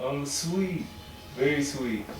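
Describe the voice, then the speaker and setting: Slow-talking young man, very echoey. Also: fairly high pitch. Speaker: panel guest. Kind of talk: casual chat, brief answers. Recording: very echoey, distant mic, music behind.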